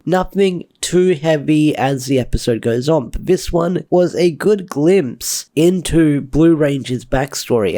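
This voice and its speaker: A man's voice: on the high side, dark tone. Snuffly man reviewing a TV episode. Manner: enthusiastic, rambling with fillers. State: stuffy from a cold. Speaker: TV show reviewer. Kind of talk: casual solo review.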